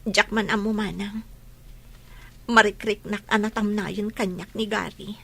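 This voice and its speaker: A woman playing a hurt, long-suffering wealthy wife in an Ilocano audio drama, with a husky voice. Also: medium pitch, steady tone, unhurried, fairly bright tone. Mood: weary, subdued.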